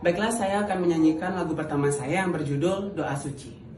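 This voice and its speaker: A man's voice: on the high side, a little fast, darkish tone. A man in his early twenties from West Sumatra, formally introducing himself as a singing audition contestant. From Padang, West Sumatra, Indonesia. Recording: roomy echo with clear background noise.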